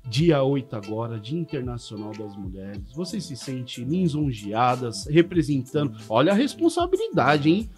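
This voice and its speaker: A man's voice: mid-range voice, big pitch swings, normal speed, hardly any pauses, soft background music. A Brazilian man hosting a podcast, asking questions in a playful, teasing way. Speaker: podcast host. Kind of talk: casual podcast interview.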